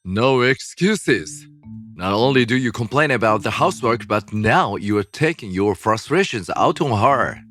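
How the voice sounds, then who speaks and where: A man's voice: mid-range voice, animated, normal speed, a bit bright, faint music behind, close to an everyday voice. A man playing a stern, protective older father who scolds firmly in a dubbed story. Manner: firm, scolding.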